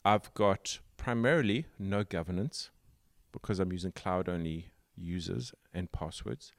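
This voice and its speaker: A man with a South African accent hosting a tech podcast, explaining at length in a casual way with pauses and fillers. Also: low voice, gravelly, no echo, studio quality. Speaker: podcast host.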